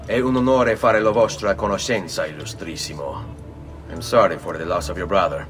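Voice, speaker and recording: Low-voiced young Italian assassin. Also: male voice, fairly quick, fairly bright tone, far from the mic, faint music behind. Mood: earnest. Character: suave, composed young male Italian assassin hero.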